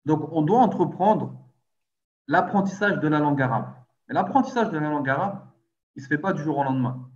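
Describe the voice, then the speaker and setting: A man hosting a relaxed talk, speaking in an easy conversational way and putting questions to his guest. His voice sits a little high for a man and comes through a dull, lo-fi recording. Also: a little fast. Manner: conversational, explains then asks questions. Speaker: host. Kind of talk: casual chat.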